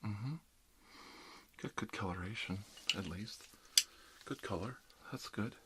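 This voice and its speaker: Low, murmuring ASMR dentist played by a man. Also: slow pace. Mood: soothing, reassuring. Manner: soft, often dropping into whispers, soothing. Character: calm reassuring dentist treating an undead patient. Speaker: ASMR roleplay performer. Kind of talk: ASMR roleplay, murmured one-sided dialogue. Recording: clear background noise, clicks.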